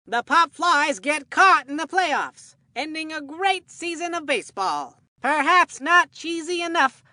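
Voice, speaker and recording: An excitable male cartoon baseball commentator with a high-pitched, forceful voice. The delivery is folksy and hammy, full of showman's polish for a video game, and the sound is dry and close. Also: dry recording, exaggerated voice. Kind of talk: scripted video game sports commentary with puns.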